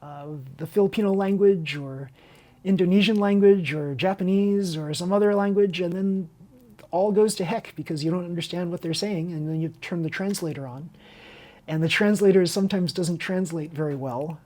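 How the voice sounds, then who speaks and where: Husky woman chatting through a hobby build. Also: on the low side, normal speed, a little mellow. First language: Chinese. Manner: rambling, candid, self-interrupting. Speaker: hobby video host and teacher. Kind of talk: unscripted casual chat over a model car build tutorial.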